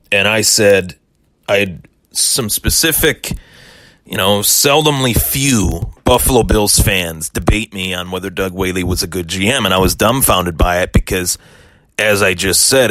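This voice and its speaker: Hoarse, lowish-voiced sports podcast host. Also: male voice, fairly bright tone, no echo. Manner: aggrieved, defensive, rambling with restarts. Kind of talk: solo podcast rant.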